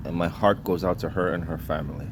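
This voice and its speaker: A man's voice: low-pitched, steady tone, fast talker, noisy background. A man from Trinidad and Tobago delivering a blunt, indignant vlog rant in short clipped sentences, swearing freely. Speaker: vlogger. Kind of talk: ranting monologue.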